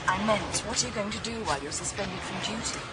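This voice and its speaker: A woman playing a breezy, bossy but caring friend in a naturalistic radio drama, brisk and teasing. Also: mid-range voice, very noisy, natural voice. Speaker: actor in a radio thriller.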